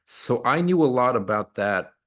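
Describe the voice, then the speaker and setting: A man's voice: mid-range voice, lively intonation, normal speed, fairly bright tone. A man speaking as an author and historian in a conversational interview, thoughtful, often restarting and repeating words as he searches for the right phrase; Polish was his first language. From United States, grew up in Pennsylvania.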